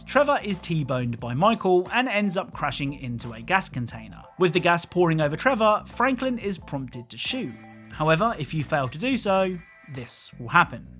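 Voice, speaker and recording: A man delivers scripted gaming commentary with a touch of dry humour. His voice is on the high side and very animated, over a soft music bed. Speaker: video game YouTuber, narrator.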